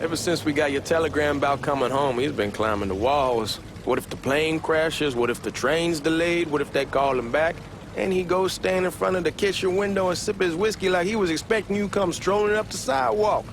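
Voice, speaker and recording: An actor playing a cocky, talkative young hustler with an American Southern accent in a video game, speaking in loose slang. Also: male voice, fairly high pitch, brisk, close to an everyday voice. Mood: confiding. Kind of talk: acted game dialogue, casual banter. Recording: car engine and street noise behind. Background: moving car ambience.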